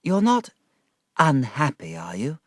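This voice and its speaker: A man voicing a fragile, affectionate, childlike young wife with gentle pleading in an audiobook reading. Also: mid-range voice, wildly swooping pitch, slow pace, dry recording, clean studio sound, pitched above the performer's own voice, heavily acted. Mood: wistful.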